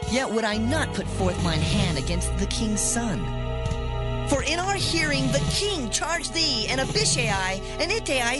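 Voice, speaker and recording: Earnest soldier over loud music female actor. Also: mid-range voice. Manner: emphatic, protesting, archaic speech. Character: earnest, principled common soldier bringing a report. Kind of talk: dramatized scripture acting.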